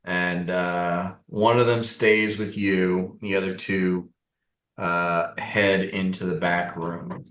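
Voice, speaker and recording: Male game master, low voice, halting delivery, improvised narration, recorded distant in a roomy space. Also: flat delivery, a bit bright. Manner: halting, pausing between phrases. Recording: roomy echo, far from mic.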